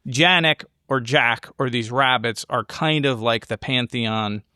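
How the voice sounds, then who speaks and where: A man co-hosting a casual film discussion, explaining his ideas in a halting way as he thinks aloud. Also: medium pitch, pushed and bright, no room sound, clean studio sound. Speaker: podcast co-host.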